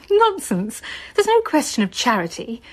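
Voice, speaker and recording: Breathy, affectionate gentlewoman. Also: a little high, wildly swooping pitch, a little slow, heavily acted. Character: affectionate, well-to-do married mother, eager and tactful. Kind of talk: radio drama acting.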